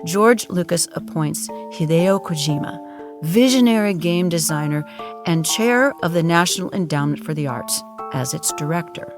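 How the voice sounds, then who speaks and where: A woman giving solemn, measured, deadpan narration for an audio drama in a documentary style. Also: fairly low pitch, music in the background.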